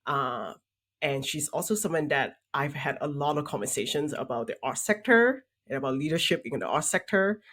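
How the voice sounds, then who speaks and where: A young woman host with a husky, slightly breathy voice. She talks in an earnest, measured way, and her pitch moves a lot as she goes. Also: expressive, a bit bright. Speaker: podcast host. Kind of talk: podcast intro and interview.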